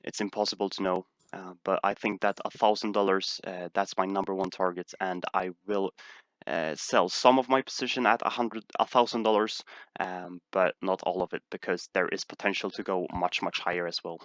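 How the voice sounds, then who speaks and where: Man, Swedish accent, low pitch, dry close sound, hesitant with many fillers. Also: fairly even intonation, moderate pace, fairly bright tone, no room sound. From Sweden. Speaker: stock market streamer. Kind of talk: casual livestream Q&A.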